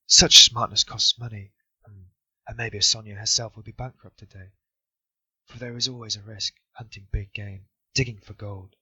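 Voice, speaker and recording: A man's voice: on the low side, level tone, normal speed, sharp tone, slightly rough, no room sound, full character voice. A man voicing a bitter, brooding young man muttering sardonic thoughts to himself. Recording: dull, dry recording.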